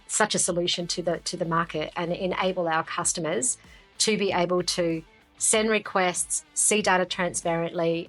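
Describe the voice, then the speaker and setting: Low-pitched woman interviewer, music behind. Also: low-quality recording. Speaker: interviewer, company founder and chief executive. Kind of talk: business interview, conversational promotional talk. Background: background music.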